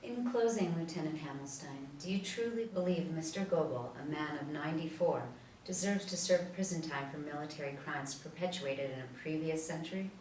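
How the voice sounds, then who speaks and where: A woman's voice: low-pitched, moderate pace, a little mellow, lightly acted. A woman in her thirties reading the part of a probing television news interviewer at a table reading. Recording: roomy, distant mic, light room noise.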